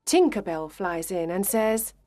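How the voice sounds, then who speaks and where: A woman narrating a scripted children's story for language learners, deliberate and carefully enunciated. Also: medium pitch, animated, noisy background.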